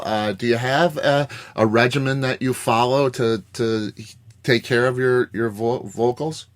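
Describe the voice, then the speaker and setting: A man hosting a podcast interview, effusive and admiring, often stammering into restarts. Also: medium pitch. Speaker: podcast host.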